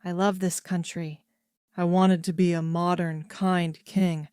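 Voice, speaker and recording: A woman voicing a grave, resigned king who speaks quietly and sadly, in a smooth, clear voice. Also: mid-range voice, slow pace, dry recording, studio quality, natural voice. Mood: sorrowful. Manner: quiet, soft-spoken and resigned. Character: grave, sorrowful man, an abdicating king.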